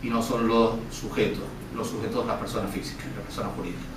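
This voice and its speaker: Very fast-talking man in echoey room. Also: on the low side, flat delivery. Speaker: panel speaker presenting a book. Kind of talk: formal presentation speech. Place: event room, distant mic. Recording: very echoey hall, distant mic, faint noise.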